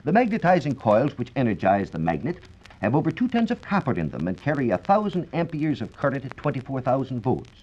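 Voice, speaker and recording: A man's voice: medium pitch, huge pitch swings, a little fast, a little mellow, husky. An American man giving a formal, scripted explanation as a science reporter on 1940s radio. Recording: dull, lo-fi 1940s radio recording.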